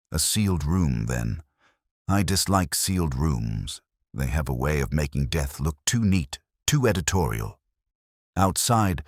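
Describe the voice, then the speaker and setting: A man narrating a scripted first-person detective story in a measured, literary and formal way. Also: a bass voice, no echo, clean studio sound.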